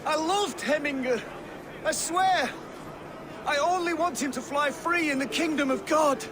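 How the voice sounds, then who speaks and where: A man's voice: very high voice, measured pace, noisy background. An actor playing a frightened, devout Saxon man in a game cutscene, agitated, defensive and pleading. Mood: fervent, pleading. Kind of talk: video game cutscene acting.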